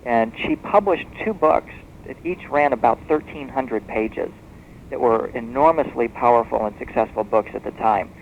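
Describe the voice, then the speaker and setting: A man speaking as an expert guest in a radio interview, explaining history as a story in a measured, discursive way. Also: mid-range voice. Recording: muffled noisy shortwave radio tape.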